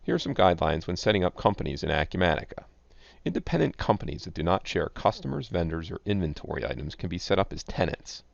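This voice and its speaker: A man giving scripted, instructional narration as a software tutorial presenter, reading in a measured, enunciated way. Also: low-pitched, creaky, dry recording. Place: close, dry voice-over recording. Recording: dull, lo-fi close voice-over.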